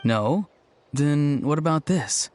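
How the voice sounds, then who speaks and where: A man's voice: fairly high pitch, lively intonation, slow pace, darkish tone, faint music behind. A man playing an easygoing, slightly awkward young villager in a video game, hesitant but matter-of-fact. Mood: earnest.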